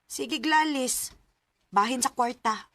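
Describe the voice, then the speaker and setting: A restless young Cebuano woman, higher-pitched. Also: slow, sharp tone, no echo, exaggerated voice. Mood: commiserating. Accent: Cebuano Filipino. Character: restless young woman, easygoing with her close friend. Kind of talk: radio drama acting. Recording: clearly noisy radio drama recording.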